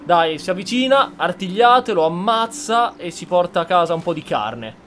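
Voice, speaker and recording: A man's voice: sits high, animated, brisk, a bit bright, slight background noise. A man giving casual, sarcastic reaction commentary as he hosts a video game playthrough. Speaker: gamer, channel host.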